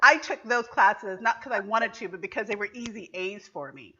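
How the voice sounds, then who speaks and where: A woman from Trinidad, a podcast guest, telling her story in a reflective, unhurried way with many fillers. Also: medium pitch, crowd noise. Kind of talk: casual interview chat. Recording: dull lo-fi audio.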